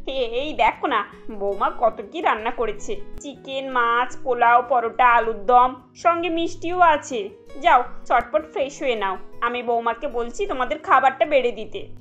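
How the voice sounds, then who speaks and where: A woman plays a middle-aged cartoon mother-in-law. She is proud and fussy, giving orders in a high voice over background music. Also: normal speed, a bit bright, lo-fi sound, close to an everyday voice. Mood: proud, fussing.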